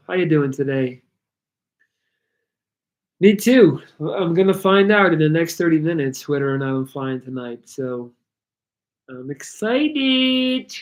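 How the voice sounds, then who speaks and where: A man whose first language is Dutch, speaking English casually and rambling a little as he hosts a live Q&A, with slightly altered articulation of some sounds. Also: a little high. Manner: relaxed, rambling, reads chat aloud. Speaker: livestream host answering viewer questions.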